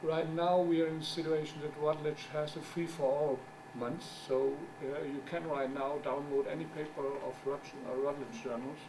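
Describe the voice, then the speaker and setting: A middle-aged man whose first language is German gives a conference talk as a journal editor. He speaks English haltingly, searches for words, and makes wry asides. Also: a little high, steady tone. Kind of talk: conference presentation. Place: conference room. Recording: distant mic with background noise.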